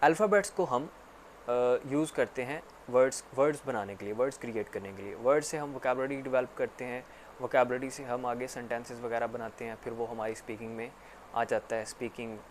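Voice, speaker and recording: A man giving a casual spoken English lesson, repeating himself often and filling pauses with "actually". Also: medium pitch, slight background noise. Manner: repetitive, filler-heavy explaining with frequent "actually". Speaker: English teacher.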